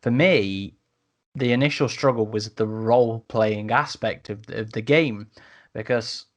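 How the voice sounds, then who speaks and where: A man's voice: fairly low pitch, measured pace. A man hosting a casual podcast discussion, with hesitant, stop-start phrasing and repeated words. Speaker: podcast host.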